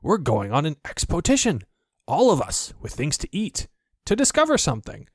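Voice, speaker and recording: A man's voice: fairly high pitch, huge pitch swings, moderate pace, slightly rough, no room sound, studio quality, pitched above the performer's own voice, exaggerated voice. A man voicing a naive, good-natured toy bear in a storybook, speaking in an eager, wondering way. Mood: excited. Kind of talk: storybook character voice.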